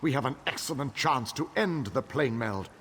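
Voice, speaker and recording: An actor playing a noble, solemn king who rallies his allies in measured, formal speech in a fantasy game. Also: mid-range voice, lively intonation, a bit bright, slightly rough. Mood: grave, rousing. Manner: stately, measured, formal oratory. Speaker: war leader king. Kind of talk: dramatic fantasy game dialogue. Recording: long hall reverb.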